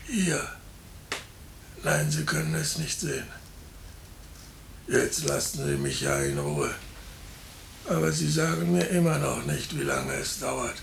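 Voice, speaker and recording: An actor playing an anxious, resigned hospital patient in a 1950s radio drama, speaking in a hushed, halting, gravelly voice. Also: male voice, on the high side, wildly swooping pitch, full character voice. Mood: agitated, bitter. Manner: halting, brooding. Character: seriously ill male hospital patient, anxious, resigned, bitter. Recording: echoey, faintly noisy old radio recording.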